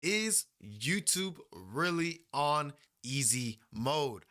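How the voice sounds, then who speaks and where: A man's voice: a little high, big pitch swings, extremely slow, sharp tone. A young man giving a casual, slangy reaction commentary as a YouTuber, laughing often and rambling into asides.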